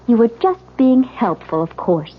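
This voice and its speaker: High-pitched, distraught young wife. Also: female voice, very animated, measured pace, slightly rough, exaggerated voice. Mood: cold, bitter. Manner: intense, theatrical delivery. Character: distraught young newlywed wife, suspicious of her husband. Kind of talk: 1970s radio drama acting. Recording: muffled vintage radio recording.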